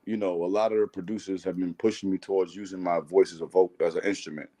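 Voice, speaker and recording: A man with a deep baritone voice, a rapper guest chatting casually and reflectively in an interview. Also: steady tone, fast, dark tone.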